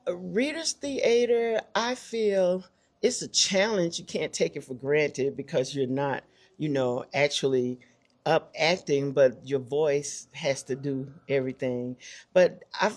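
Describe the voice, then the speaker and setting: A woman's voice: low-pitched, darkish tone. A woman answering interview questions casually, in a plain-spoken and thoughtful way. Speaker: interview guest, community theater actor. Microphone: handheld microphone.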